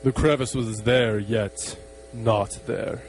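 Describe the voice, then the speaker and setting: Slow, solemn man narrating horror. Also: on the low side, fairly even intonation, very noisy. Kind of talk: dramatic scripted horror narration for amateur radio play.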